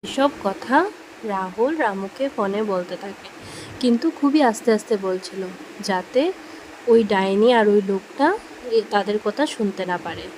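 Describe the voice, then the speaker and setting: A woman narrates a scripted children's ghost story. Her voice sits at an ordinary middle pitch, and she tells the tale as a channel storyteller. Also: measured pace, darkish tone, slight background noise, poor audio.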